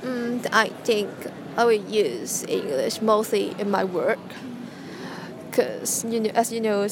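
A woman's voice: medium pitch, animated, takes it slowly, vocal fry. Hesitant Hong Kong woman with background noise. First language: Cantonese. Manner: hesitant, many restarts and hums. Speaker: interviewee, student. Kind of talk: casual interview answers.

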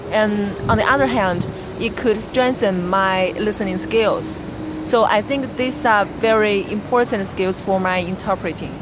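Young woman, Chinese accent, non-native speaker, hesitant interview answers, steady mid pitch, noisy background. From China. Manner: hesitant, many fillers and restarts. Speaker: interviewee, graduate student. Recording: background noise.

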